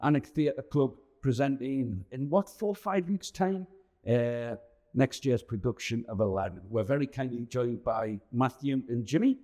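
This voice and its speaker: A man's voice: medium pitch, expressive, measured pace, dark tone. A man, hosting an interview on location for a local channel. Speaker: host interviewer for a town TV channel.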